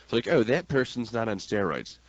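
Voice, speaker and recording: Male radio host, quick and lowish, informal and wry, slightly dull lo-fi audio. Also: big pitch swings. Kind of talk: casual wrestling talk and commentary.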